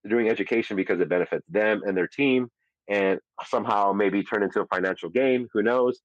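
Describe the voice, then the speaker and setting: A man's voice: on the low side, a little fast, no room sound. A man hosting a casual live audio show, talking in a rambling, profane way with many restarts, heard over a dull, lo-fi online audio chat. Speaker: host.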